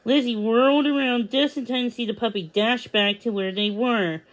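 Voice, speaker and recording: A woman's voice: on the high side, fairly even intonation, moderate pace, poor audio. A woman narrating a children's story as a read-aloud. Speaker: storybook reader.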